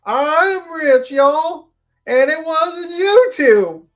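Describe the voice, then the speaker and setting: A man mockingly voicing a whiny rich Southern man in an exaggerated Southern accent, with a wailing, drawn-out delivery. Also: extremely high pitch, expressive, soft background music, pitched above the performer's own voice, heavily acted. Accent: exaggerated American Southern.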